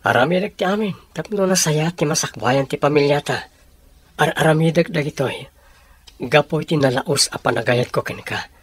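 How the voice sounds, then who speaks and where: A man's voice: fairly high pitch, moderate pace, fairly bright tone, husky, no echo. A man with a Filipino accent playing a devoted, romantic young sweetheart in a radio drama. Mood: earnest. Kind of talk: Ilocano radio drama acting. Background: music.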